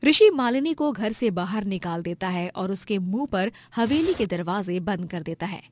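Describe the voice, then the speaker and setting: A woman's voice: medium pitch, lively intonation, normal speed, darkish tone, slight background noise. A woman narrating a scripted moral story as a storyteller.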